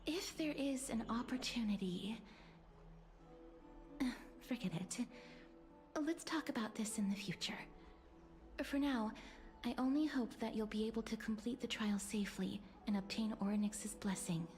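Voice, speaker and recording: A woman playing a gentle, melancholy young game heroine who speaks slowly, softly and formally in a breathy hush. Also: a little high, close to an everyday voice. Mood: shy, gentle. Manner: slow, hushed, breathy, formal delivery. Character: gentle, melancholy, bookish young woman, soft-spoken and courteous. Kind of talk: video game voice acting, story dialogue. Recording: game music behind the voice.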